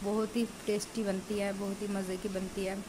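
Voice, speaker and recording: A woman home cook talks casually through each step of her recipe. Her voice sits at a medium pitch. A phone over the pan picks her up with clear background noise and sizzling. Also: little pitch movement, moderate pace. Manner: chatty, explains steps while cooking. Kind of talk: cooking tutorial narration. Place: home kitchen at a stove. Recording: noisy home recording.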